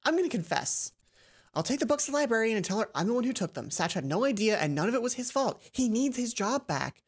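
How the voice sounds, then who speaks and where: A woman's voice: on the high side, sing-song, fast, husky, pitched above the performer's own voice, exaggerated voice. A woman voicing an earnest, emotional schoolgirl from a visual novel, secretive and guilt-ridden, with a light pleading delivery. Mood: guilty, resolute.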